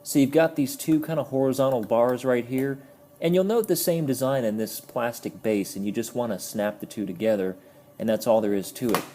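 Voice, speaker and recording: A man's voice: medium pitch, brisk, darkish tone. A man giving a casual, explanatory toy review, friendly in tone and sometimes stumbling over his words.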